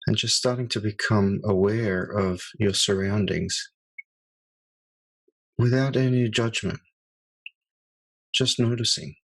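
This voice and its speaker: Male meditation guide, husky, slow and soft-spoken with long pauses. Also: on the low side, low-quality recording.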